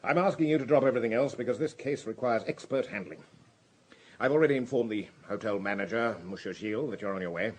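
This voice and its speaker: An actor playing a senior police director in a radio drama, courteous but anxious, with clipped, hesitant authority and a British accent. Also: male voice, medium pitch, quick pace, a little mellow, slight background noise, lightly acted. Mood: concerned, courteous. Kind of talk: BBC radio drama acting.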